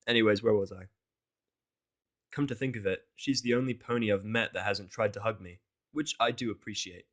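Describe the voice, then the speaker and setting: A man's voice: lowish voice, steady tone, a little fast. A man narrating fan fiction in an informal, exasperated way, swearing and slipping into casual asides.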